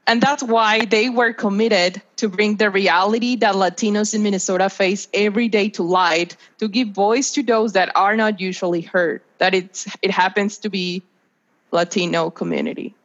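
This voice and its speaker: A woman's voice: mid-range voice, steady tone, moderate pace. A woman in her twenties from Mexico, a native Spanish speaker with a Mexican accent, giving prepared interview answers as a podcast guest. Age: 20s. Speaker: guest, nonprofit research associate. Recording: dull lo-fi video call.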